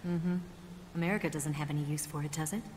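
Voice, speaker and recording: Woman playing a low-voiced, seductive female spy. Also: fairly even intonation, fast, darkish tone, a little hiss or hum, lightly acted. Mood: composed. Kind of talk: cinematic video game voice acting. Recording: reverberant hall, music underneath.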